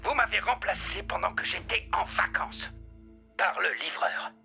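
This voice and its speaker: A man voicing an imperious, outraged company president in an animated cartoon, scolding with indignation. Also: high voice, a little slow, creaky, soft background music, exaggerated voice. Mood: indignant.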